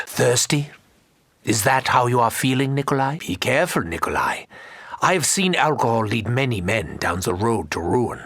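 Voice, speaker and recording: A man's voice: mid-range voice, sharp tone, husky, dry recording, clean studio sound, full character voice. A male actor playing a sinister, scheming German doctor in a video game, with precise, formal and theatrical delivery.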